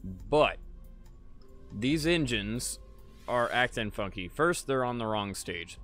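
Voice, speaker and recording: A man live-streaming a game in casual chat, tired and a little raspy, laid-back and swearing freely while talking to viewers. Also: fairly low pitch, a bit bright. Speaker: gaming livestreamer.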